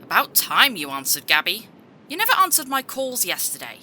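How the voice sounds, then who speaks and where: Forceful, bright-voiced brash woman agent. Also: mid-range voice, wildly swooping pitch, moderate pace, a little hiss or hum, heavily acted. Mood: annoyed. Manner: blunt, challenging. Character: brash, sharp-tongued female agent, girlfriend on a call. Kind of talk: audio drama acting.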